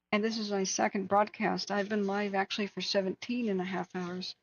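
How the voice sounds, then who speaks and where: Hoarse woman hosting a livestream. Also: medium pitch, a bit bright, no echo, highs cut off. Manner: earnest, talks to chat viewers by name. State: hoarse, losing her voice. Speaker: wildfire tracking livestream host. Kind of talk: casual livestream chat.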